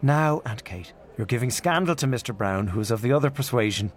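A man voicing a gentle young woman who smooths over quarrels in a soothing way. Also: medium pitch, lively intonation, fairly quick, close to an everyday voice. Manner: soothing, pacifying.

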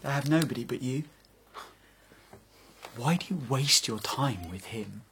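An actor playing a bitter, jealous, fragile young man with a husky voice in a stage drama. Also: medium pitch, lively intonation, measured pace, background noise. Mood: intimate, bitter. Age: young adult.

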